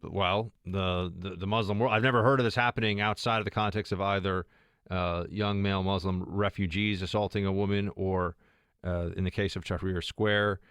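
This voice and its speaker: A man's voice: low voice, steady tone, normal speed, fairly bright tone, clear, resonant tone, no room sound, studio quality. A man hosting a talk radio show, talking off the cuff with many fillers and restarts. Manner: extemporaneous, with frequent fillers and restarts. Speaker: radio host.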